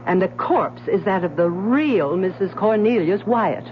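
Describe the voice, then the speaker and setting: An actress playing a shrewd, sharp-eyed gentlewoman wife in a radio drama, speaking in a knowing, firm, persuasive way. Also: mid-range voice, lively intonation, unhurried, lightly acted. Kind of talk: 1970s radio drama acting. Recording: muffled, hissy old radio broadcast.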